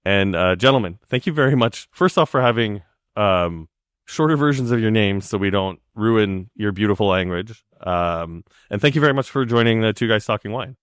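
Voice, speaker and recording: A man's voice: fairly low pitch, lively intonation, moderate pace, a bit bright, smooth, dry recording, studio quality. A man in his forties co-hosting a podcast, telling stories in a chatty way with frequent ums. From Canada. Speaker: podcast co-host. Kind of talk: casual chat.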